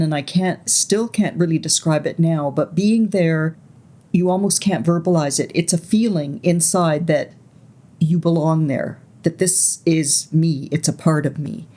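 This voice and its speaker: A woman in her sixties, raised in Canada, recalling her life story slowly and reflectively as an interview guest, often pausing to search for words. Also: low-pitched. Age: 60s. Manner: soft, unhurried, searching for words.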